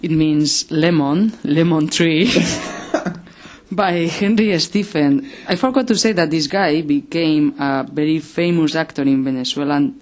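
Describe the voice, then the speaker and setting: A woman's voice: low-pitched, a little slow, darkish tone. A woman chatting casually as a co-host of a show. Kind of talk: casual chat.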